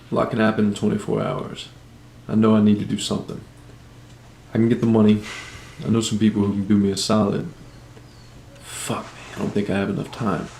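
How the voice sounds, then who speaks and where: An actor playing a troubled, cynical drug dealer, narrating and talking in a brooding, profane, philosophical way in a film drama. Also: male voice, fairly low pitch, completely flat delivery, brisk, creaky, darkish tone, roomy sound, a little hiss or hum. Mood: anxious, resolved. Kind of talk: dramatic voice-over and casual film dialogue.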